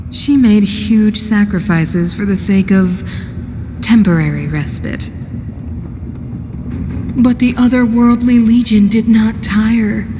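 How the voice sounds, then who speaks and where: An actress playing a solemn, bitterly ironic mother and ruler in a video game, speaking in a grave, measured, theatrical way. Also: mid-range voice, fairly even intonation, close to an everyday voice. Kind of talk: dramatic video game cutscene acting. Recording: long hall reverb, background noise.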